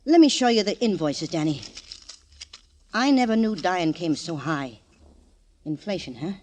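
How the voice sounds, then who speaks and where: A woman's voice: fairly low pitch, animated, measured pace, a little mellow, a little hiss or hum. An older mobster's mother in a vintage radio crime drama. She is domineering and sardonic, scolding in a hard, biting way. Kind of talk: old-time radio crime drama acting. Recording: old radio broadcast.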